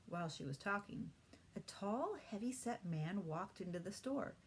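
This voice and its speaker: A woman teacher narrating a children's storybook aloud in an expressive storytelling manner. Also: mid-range voice, normal speed, slight background noise. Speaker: third-grade teacher reading aloud.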